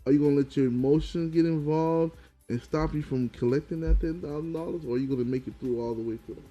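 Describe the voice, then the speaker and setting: A man's voice: on the high side, fast talker, mellow, muffled recording, soft background music. Pushy man co-hosting a podcast. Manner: pushy, persistent, repeats his point. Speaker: podcast co-host. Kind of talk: casual chat.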